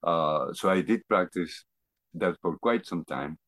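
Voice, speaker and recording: Middle aged guy from argentina, deep voice, slow to find his words, telling his life story, no room echo. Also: fairly bright tone. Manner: halting, reflective, searching for words. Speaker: interview guest. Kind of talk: personal testimony in conversation.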